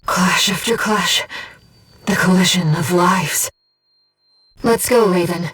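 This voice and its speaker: A woman voicing a gentle, earnest disembodied guide to a mercenary pilot in a video game, with a soft, measured, composed delivery. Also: lowish voice, husky, recorded from a distance, soft background music, exaggerated voice. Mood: solemn, gentle. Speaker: mission support operator. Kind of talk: scripted video game voice acting.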